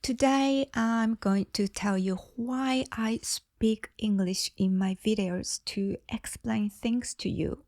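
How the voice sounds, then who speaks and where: Japanese-accented woman, middle-aged, slow careful teacher talk, gravelly edge, dry close mic. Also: on the high side, fairly even intonation, a little mellow, no room sound. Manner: slow, deliberate, carefully clear delivery. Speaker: English teacher and channel host. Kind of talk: slow scripted English lesson talk.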